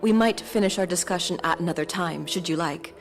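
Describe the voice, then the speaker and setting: A woman playing a calm, formal woman who speaks politely and with measured logic. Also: on the low side, faint music behind, close to an everyday voice.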